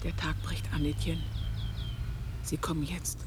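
A woman's voice: low-pitched, flat delivery, noisy background. An actress playing a haunted, solitary farm woman in a radio drama, with an incantatory, repetitive, insistent way of speaking. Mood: hushed urgency. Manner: slow, incantatory, repeating phrases.

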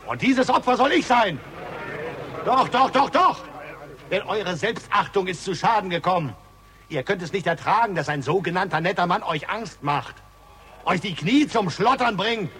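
A man's voice: high-pitched, pushed and bright, slight background noise, exaggerated voice. An actor playing a defiant, sardonic accused man in a theatrical, oratorical 1960s German radio drama style. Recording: 1960s radio play, long hall reverb.

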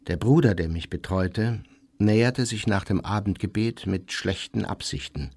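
An actor playing a proud, self-pitying man who narrates his youth in formal, measured memoir style. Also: on the low side, a little mellow, breathy edge, no room sound. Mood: sober recollection. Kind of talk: scripted first-person memoir narration in radio play.

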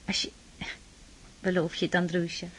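An actress playing a shy, devout aristocratic sister in a Dutch radio drama, speaking earnestly and tenderly, with pleading admonishment. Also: female voice, on the low side, monotone, moderate pace, fairly bright tone, a little hiss or hum, natural voice.

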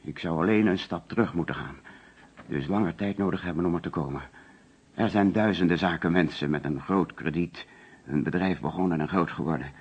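A man's voice: sits low, brisk, slightly rough. An actor playing an anxious, defensive insurance agent under suspicion in a radio play, hesitant and flustered as he protests. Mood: indignant, defensive. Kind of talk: Dutch radio play acting. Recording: dull, lo-fi old radio recording.

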